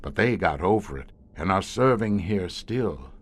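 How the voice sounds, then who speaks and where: An actor playing a weary, grim professor who commands a secret police squad, speaking formally and hesitantly in an audio horror drama. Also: male voice, deep voice, lively intonation, breathy edge, heavily acted. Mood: grimly matter-of-fact. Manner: measured, hesitant, formal, darkly matter-of-fact.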